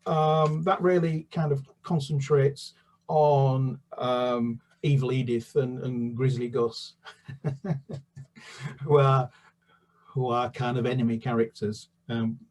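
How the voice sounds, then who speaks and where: A British man with a husky voice in an ordinary middle register talks about his children's books, stopping and restarting often. He then reads a chapter aloud in steady storybook narration over a video call. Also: medium pitch. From United Kingdom. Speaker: children's author. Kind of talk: book talk and read-aloud.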